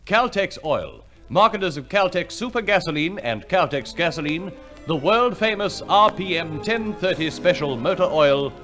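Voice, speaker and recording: A man's voice: high-pitched, expressive, moderate pace, fairly bright tone, soft background music. A man reading scripted announcements as a formal, polished 1950s radio compere, on an old recording.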